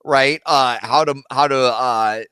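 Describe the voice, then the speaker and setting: A man's voice: medium pitch, takes it slowly, bright, forceful tone, clear, resonant tone, dry recording. A man from Alaska on a panel, rambling through his points with lots of fillers and "right?". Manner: rambling, keeps adding "right?", many fillers. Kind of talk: heated panel discussion on a live stream.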